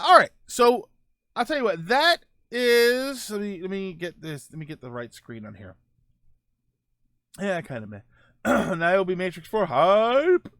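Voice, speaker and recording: A man's voice: a little high, a bit bright, no echo, studio quality. A man hosting a casual live-stream show, answering viewer comments in a loose, rambling way with lots of fillers. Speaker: livestream show host.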